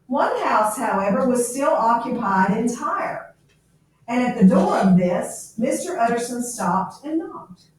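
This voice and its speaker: A woman reads a classic story aloud at a slow pace, breaking off to explain it in plain words like a teacher. She sounds distant from the microphone, in a strongly echoing room. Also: on the high side, expressive. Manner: explanatory, checking in with "okay?". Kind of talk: read-aloud of a classic novel with explanatory commentary. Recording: echoey room, distant mic, faint noise.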